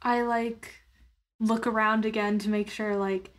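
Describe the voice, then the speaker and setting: A woman's voice: medium pitch, level tone, unhurried. Woman player thinking aloud, mid-range voice. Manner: casual, tentative, thinking aloud. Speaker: player in a tabletop roleplaying game. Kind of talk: improvised first-person roleplay narration.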